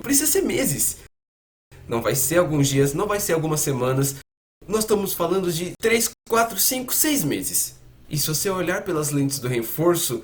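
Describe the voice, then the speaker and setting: Lively, high-pitched Brazilian man explaining. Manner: conversational and didactic, with rhetorical questions. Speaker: coach presenter, dubbed in Portuguese. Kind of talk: explanatory talk dubbed as a fan voice-over.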